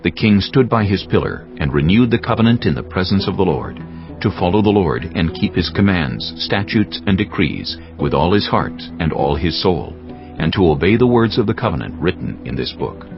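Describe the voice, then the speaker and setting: A man narrating dramatized scripture in a solemn, deliberate, scripted style. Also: low-pitched, very animated. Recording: slightly dull audio with music bed.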